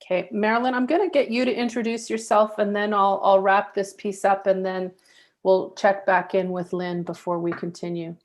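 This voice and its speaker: A woman moderating an online panel, calling on speakers calmly and patiently over a video call. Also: medium pitch, normal speed. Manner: calm, patient, facilitating.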